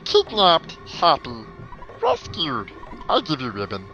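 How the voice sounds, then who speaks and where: A man's voice: medium pitch, huge pitch swings, slow pace, sharp tone, faint music behind, full character voice, poor audio. A man voicing a cheerful, odd little video game creature that speaks in clipped, broken phrases. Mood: happy, grateful.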